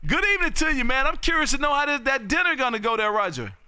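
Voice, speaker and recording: A man with a very high, piercingly bright voice. He talks loudly and folksily as he works the amateur radio, calling and chatting with exuberance. The sound is clear and dry. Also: big pitch swings, quick pace, no room sound. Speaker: amateur radio operator hosting a live stream. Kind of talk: casual radio chat and calling. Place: home radio room.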